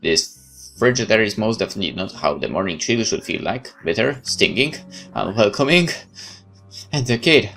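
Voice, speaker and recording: A man with a very deep voice and animated pitch reads a game's story aloud theatrically. He mixes in casual let's-play remarks, with faint music behind him. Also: normal speed, creaky. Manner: expressive, theatrical. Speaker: let's player. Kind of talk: dramatic read-aloud with casual asides.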